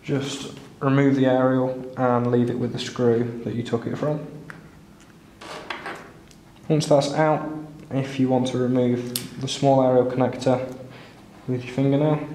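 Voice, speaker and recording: A man presents a how-to guide in a flat, even voice at a medium pitch. He gives plain step-by-step instructions. The recording is roomy and echoey, with faint-to-clear noise behind him. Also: a little slow, pauses often. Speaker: repair tutorial presenter. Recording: roomy echo, clear background noise, clicks.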